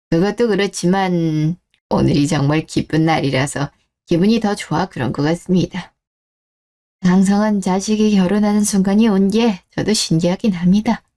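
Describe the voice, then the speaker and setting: Woman voicing a courteous, mellow older father. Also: mid-range voice, normal speed, close to an everyday voice. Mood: pleased. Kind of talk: Korean radio drama dialogue.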